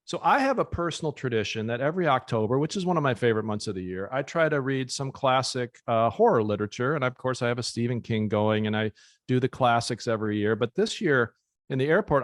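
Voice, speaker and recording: A man's voice: mid-range voice, no room sound. American male podcast host, wry and a touch showy, dry close sound. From United States. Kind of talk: casual podcast banter.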